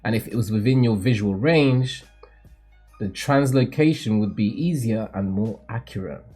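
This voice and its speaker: A man voicing a solemn, cryptic mentor who sees the future, in a theatrical, measured, formal manga read-aloud. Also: lowish voice, dark tone, husky, faint music behind. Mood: solemn, instructive.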